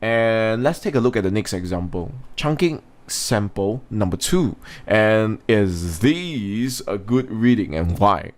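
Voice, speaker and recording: A man's voice: on the low side, lively intonation, takes it slowly, few pauses. A man teaching an English language lesson in an instructional way, talking students through points and putting questions to them. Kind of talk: scripted lesson narration with informal asides.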